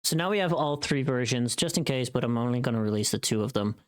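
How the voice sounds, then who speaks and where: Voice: mid-range voice, lively intonation, fast. A streamer chatting casually on a livestream, thinking aloud and critiquing their own voice takes. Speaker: streamer recording voice lines.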